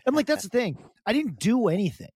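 A man co-hosting a sports podcast who talks in a chatty, animated way, often repeating words and restarting sentences. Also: high voice, fast, husky, no room sound. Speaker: podcast co-host. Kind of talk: casual sports chat.

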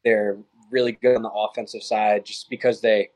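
A man's voice: on the low side, level tone, normal speed, a little mellow, rough recording. A young man, a college baseball player, talking easily as a podcast guest and telling stories. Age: young adult. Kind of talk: casual interview chat.

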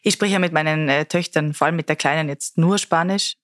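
A woman, a journalist talking casually in an interview, animated and quick to laugh. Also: deep voice, no room sound, studio quality. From Mexico and Austria. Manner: chatty, laughs often, animated.

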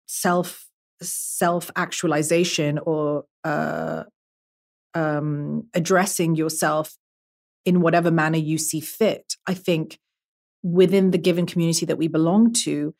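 A woman's voice: low-pitched, steady tone, unhurried, vocal fry, darkish tone. A young woman, a guest artist, talking thoughtfully on a podcast, with many fillers and restarts. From half Emirati. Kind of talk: podcast conversation.

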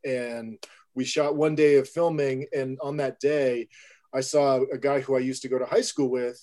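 A man's voice: medium pitch, fairly even intonation, moderate pace, darkish tone. A man who is a filmmaker and guest, telling a story with enthusiasm and animation. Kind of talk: casual storytelling in an interview.

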